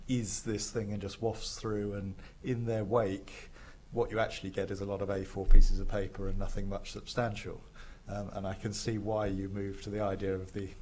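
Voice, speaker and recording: A man who teaches, speaking in a measured, deliberate way on a panel. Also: fairly low pitch, a little mellow, a little hiss or hum, poor audio. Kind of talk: panel discussion. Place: large dark auditorium stage.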